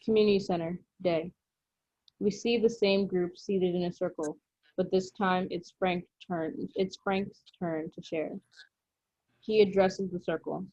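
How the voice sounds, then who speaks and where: A woman's voice: lowish voice, steady tone, measured pace, warm tone, no room sound. A woman reading stage directions as scripted narration for a drama table read, over slightly dull call audio.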